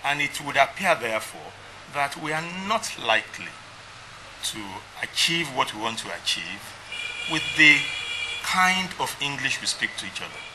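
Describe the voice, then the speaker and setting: Measured man, noisy studio. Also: medium pitch, very animated. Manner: measured, thoughtful, hesitant with ums. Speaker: guest, language technology CEO. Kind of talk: studio interview.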